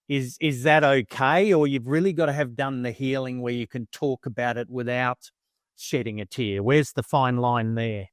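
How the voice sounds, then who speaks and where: A man's voice: mid-range voice, clean tone, dry recording, studio quality. A man hosting a podcast interview, affirming his guest and asking probing questions. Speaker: podcast host. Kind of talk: interview conversation.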